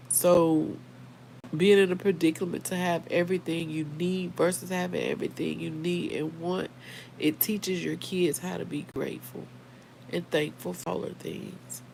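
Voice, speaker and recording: A middle-aged American woman, a little hoarse, chatting casually and earnestly on a livestream and speaking straight to her listeners. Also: on the low side, a little mellow, slight background noise. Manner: conversational, earnest, addresses listeners directly. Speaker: livestream host. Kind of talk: casual live chat reading viewer comments.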